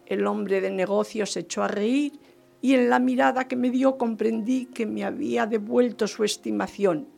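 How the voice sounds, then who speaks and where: A woman's voice: fairly high pitch, steady tone, normal speed, vocal fry, a little mellow, faint music behind. An actress playing a genteel, scheming wife in radio theatre, theatrical and emphatic in telling her tale. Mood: confiding narration. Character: indignant, genteel married lady scheming for divorce. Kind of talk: radio theatre storytelling and dialogue.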